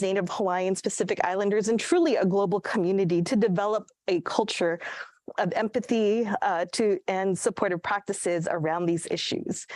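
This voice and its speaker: A woman from Guam speaking as an assistant professor, giving earnest, measured prepared remarks over a video call. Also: mid-range voice, a little mellow, dry recording. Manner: earnest, measured, clears throat at times. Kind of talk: prepared remarks at a virtual event.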